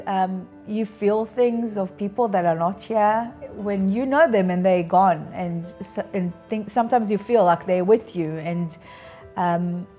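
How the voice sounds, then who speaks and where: A woman in her fifties giving a reflective, halting and earnest personal account in a documentary interview. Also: medium pitch, darkish tone. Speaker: interviewee, bereaved daughter. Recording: music underneath. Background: music.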